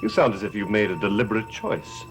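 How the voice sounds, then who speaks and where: A man's voice: on the low side, fast talker, over music, natural voice. A man acting a guarded, intense, cursed heir in a gothic television soap, with an earnest, questioning, dramatic delivery. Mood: challenging.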